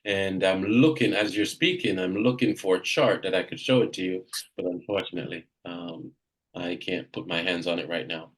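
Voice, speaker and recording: A man's voice: sits low, fairly even intonation, normal speed. A man from Jamaica leading a live coaching session for real estate agents, direct and emphatic, often repeating himself.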